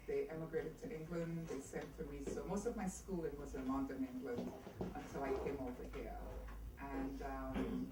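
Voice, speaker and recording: A middle-aged woman from Trinidad and Tobago, a judge giving an unhurried, storytelling speech at a community event. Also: low-pitched. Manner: warm storytelling, unhurried, laughs easily. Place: community center hall. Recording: echoey hall from far off, noisy.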